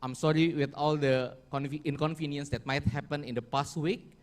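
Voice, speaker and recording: A man giving a formal closing speech as event organizer, halting at times and correcting himself as he goes. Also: fairly high pitch, steady tone. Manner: halting, with restarts and self-corrections.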